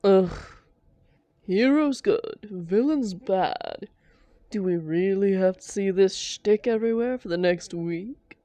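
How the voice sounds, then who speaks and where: A woman's voice: medium pitch, takes it slowly, mellow, dry recording. A woman playing a theatrical female supervillain and doting, grumbling lover in a scripted audio roleplay. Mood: disgusted. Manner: theatrical, affectionate pet names, exasperated protests.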